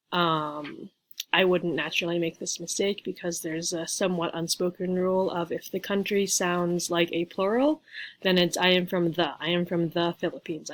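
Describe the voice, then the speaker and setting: A young woman giving a relaxed grammar lesson over slides, talking into a webcam at home. Her voice sits a little low, and she chats loosely with plenty of fillers and playful asides. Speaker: teacher. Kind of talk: casual slide lecture.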